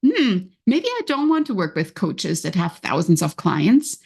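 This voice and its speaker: A woman's voice: fairly low pitch, sing-song, unhurried, vocal fry, darkish tone, husky. A woman with a German accent hosting a solo motivational podcast, talking conversationally and encouragingly, often voicing inner thoughts. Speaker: podcast host and coach.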